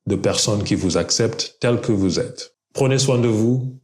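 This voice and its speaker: A young Kenyan man with a Kenyan accent, whose first language is Luo, speaking French in a calm, measured and earnest scripted monologue. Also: fairly low pitch. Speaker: video creator.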